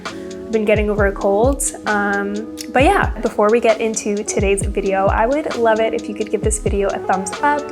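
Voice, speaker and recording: A woman's voice: medium pitch, moderate pace, over music. A young woman with a congested voice, chatting casually through a makeup routine as a beauty vlogger. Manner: chatty, upbeat, rambling product talk. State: congested from a cold. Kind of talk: casual get-ready-with-me chat.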